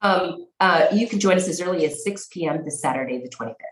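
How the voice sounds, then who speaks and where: A woman answers as a guest in a conversational interview, speaking for the organization she runs. Her voice is notably low, and the recording is clearly echoey and roomy. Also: animated, quick pace. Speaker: interview guest, executive director.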